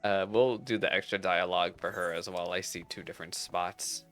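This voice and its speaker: A man's voice: low voice, fairly even intonation, a little fast, a bit bright. A man giving casual, chatty playthrough commentary with playful, slangy reactions. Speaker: game streamer. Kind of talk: casual playthrough commentary with read-aloud dialogue. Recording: game music behind the voice. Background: game music.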